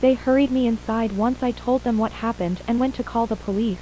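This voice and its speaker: Woman acting as shaken mom survivor in an analog horror interview, mellow high voice, faint hiss behind. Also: brisk, natural voice, low-quality recording. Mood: subdued, uneasy. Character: shaken mother and wife, surviving witness of an attack. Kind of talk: acted interview in an analog horror audio drama.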